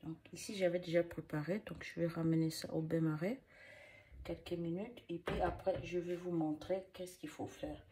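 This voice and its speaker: Woman vlogger with a cold, low voice, chatty rambling how-to. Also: steady tone, normal speed, dark tone. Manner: rambling, repeats herself, many fillers. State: has a cold and cough. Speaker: hair care vlogger. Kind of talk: casual how-to demonstration.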